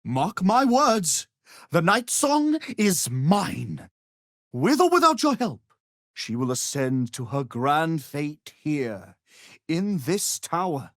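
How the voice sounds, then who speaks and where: A man's voice: fairly high pitch, big pitch swings, slow pace, a bit bright, no room sound, studio quality, full character voice. An actor playing an arrogant, grandiose male wizard in a fantasy video game, with a pompous, condescending, theatrical manner. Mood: grandiose, triumphant.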